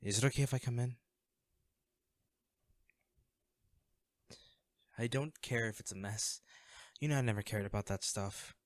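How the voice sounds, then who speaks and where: A man acting in an audio roleplay as an anxious, self-deprecating young man, a caring old crush who talks haltingly and intimately to the listener. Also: on the low side, dry recording, close to an everyday voice. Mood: nervous, hesitant. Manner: hesitant, halting, sighing.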